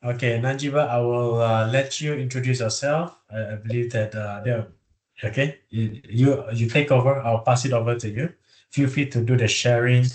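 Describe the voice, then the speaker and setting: A man's voice: mid-range voice, fairly even intonation, normal speed, a little mellow. Male class host on a video call, hesitant with fillers, echoey room sound. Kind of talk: hosting an online class.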